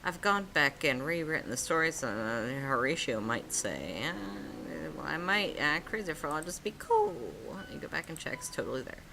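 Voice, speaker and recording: A woman's voice: low-pitched, big pitch swings, moderate pace, vocal fry, few pauses, background noise. A woman reading a choice-based story game aloud as host, with casual, playful, sardonic banter. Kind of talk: casual playthrough narration with banter. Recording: music playing behind the voice.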